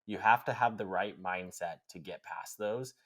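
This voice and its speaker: A man with a very deep voice chats on a podcast in a relaxed, reflective way. He leans on "like" and "right?" as he builds his points, keeping things unhurried. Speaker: podcast co-host. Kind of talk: casual podcast conversation.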